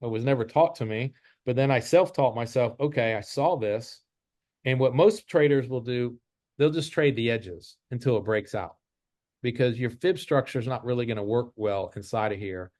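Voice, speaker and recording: A man's voice: medium pitch, normal speed, darkish tone, dry recording, clean studio sound. A man giving an informal lesson as an instructor, explaining step by step and repeating his rules. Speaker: trading instructor. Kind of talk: informal chart-based lesson.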